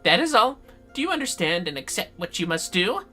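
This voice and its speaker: Brief: fantasy game mage acted out by a streamer, solemn and theatrical, voice lifted high, music underneath. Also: very animated, fairly quick, bright, forceful tone. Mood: solemn, commanding. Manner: theatrical, portentous. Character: solemn mage quest-giver reading grand dark prophecy. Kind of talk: dramatic read-aloud of game dialogue.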